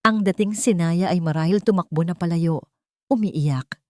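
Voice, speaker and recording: A woman's voice: lowish voice, normal speed, dry recording, clean studio sound. A woman reading a scripted dramatic story as its narrator.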